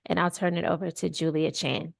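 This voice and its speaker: A woman's voice: on the low side, flat delivery, brisk, gravelly. A woman moderating a session, introducing the next speaker in a welcoming way. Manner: welcoming, gentle.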